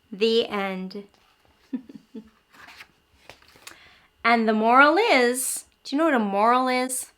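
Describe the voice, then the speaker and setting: A woman hosting a read-aloud for young children, animated and encouraging, explaining simply and asking the listener questions. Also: a little high. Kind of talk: children's storytime, speaking directly to young listeners.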